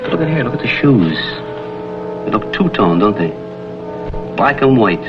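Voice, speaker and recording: A cool private detective from a vintage TV drama. His voice sits low and his delivery is dry and laconic. The old soundtrack is dull, with music behind him. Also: sing-song, moderate pace. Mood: easygoing. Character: wry, cool private detective, adult man. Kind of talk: vintage screen drama acting.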